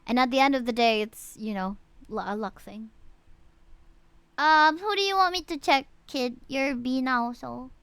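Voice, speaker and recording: Bright, very high-voiced woman streaming a game. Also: unhurried, smooth, no room sound. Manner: animated, chatty, frequent exclamations and asides to viewers. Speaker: live streamer reviewing players' game characters. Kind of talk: casual live-stream chat, reading out stats.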